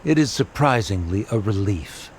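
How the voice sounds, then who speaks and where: A man's voice: fairly low pitch, lively intonation, darkish tone. A haughty scholar from an anime game. His mid-range voice moves expressively, pompous and scornful, with faint noise behind it. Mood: disdainful. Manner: pompous, measured, disdainful.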